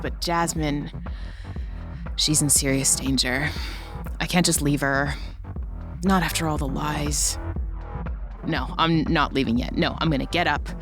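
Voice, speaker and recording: Low female voice, actress as tough sardonic agent, urgent and blunt, audio drama, prominent music underneath. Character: tough, sweary, determined female government invigilator in crisis.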